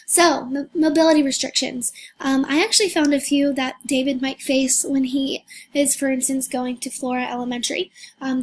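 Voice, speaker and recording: A hoarse young woman giving a recorded class presentation, talking hesitantly with many fillers and restarts. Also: sits high, darkish tone. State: hoarse, losing her voice. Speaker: student presenter.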